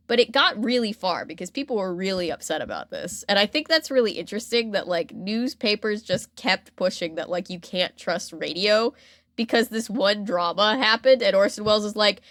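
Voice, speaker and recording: A woman's voice: medium pitch, moderate pace, no room sound, studio quality. An American woman hosting a podcast, chatting casually as she explains things and acts out mock quotes along the way. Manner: animated retelling with mock quotes and filler "like". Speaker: podcast host. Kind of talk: casual explanatory storytelling chat.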